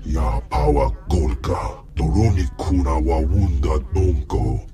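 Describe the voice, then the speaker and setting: A man's voice: deep voice, slow pace. An actor voicing an imposing male alien businessman in a video game, speaking an invented tongue with weighty delivery. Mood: gruff, emphatic.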